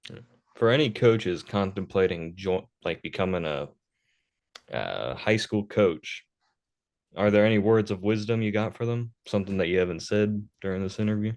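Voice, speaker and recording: Interviewer guy, lowish voice, casual, kinda hesitant with his likes and uhs. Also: expressive, moderate pace, darkish tone. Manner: informal, with hesitant fillers.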